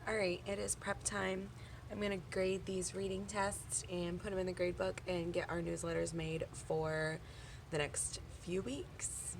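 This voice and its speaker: A young woman teacher, hoarse and losing her voice, chatting casually in her classroom, with lots of "um" and "like". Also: lowish voice, flat delivery, measured pace, noisy background. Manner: chatty, filler-heavy explaining. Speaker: elementary school teacher.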